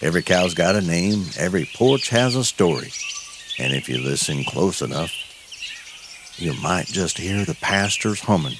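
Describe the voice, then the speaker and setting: A man's voice: deep voice, animated, moderate pace, noisy background, pitched below the performer's own voice. A man playing a friendly, folksy cowboy mayor welcoming listeners to his little cow town. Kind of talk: scripted audio story.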